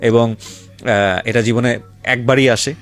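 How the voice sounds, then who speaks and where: A man's voice: medium pitch, steady tone, takes it slowly. A man with a Bangladeshi accent hosting a conversational radio interview, offering reflective commentary and mixing English phrases into his speech. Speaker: radio show host. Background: music.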